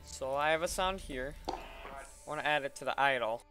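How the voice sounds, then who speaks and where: A man casually talking through a software tutorial step by step in an informal way. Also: mid-range voice, a little fast, over music. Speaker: tutorial presenter. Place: voice-over at a computer.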